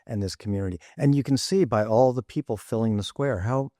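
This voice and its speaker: A man's voice: medium pitch, warm tone, no echo, studio quality. A man in a casual podcast discussion, talking thoughtfully with frequent restarts and fillers. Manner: thoughtful, halting, many restarts. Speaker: podcast panelist. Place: studio in Rome.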